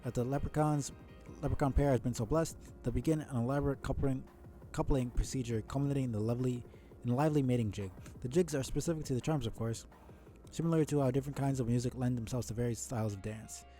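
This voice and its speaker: A man's voice: medium pitch, quick pace, mellow, faint music behind, natural voice. A man voicing an eager, long-winded webcomic character who lectures in a wordy, earnest, pedantic way. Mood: earnest lecturing. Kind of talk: webcomic character read-aloud.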